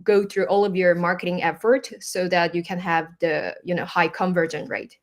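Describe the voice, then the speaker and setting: A woman's voice: lowish voice, moderate pace. Korean-accented woman explaining as an expert guest. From South Korea. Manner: explains with examples in non-native, searching English. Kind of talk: conversational expert interview.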